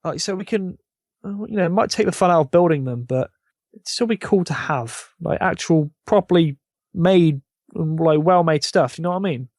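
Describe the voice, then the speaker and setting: A man with a fairly high-pitched voice chats casually on a gaming podcast. He is blunt and opinionated, and the sound is close and dry with no room on it. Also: moderate pace, darkish tone, no room sound. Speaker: podcast panelist.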